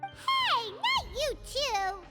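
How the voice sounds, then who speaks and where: An extremely high, squeaky little fairy companion from a game. She is excitable, cheeky and childlike, her pitch leaping wildly. Loud music plays behind her. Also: drawn-out words, full character voice. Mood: indignant, playful. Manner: squeaky, exclamatory, speaks of self in third person. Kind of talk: cartoonish game character acting.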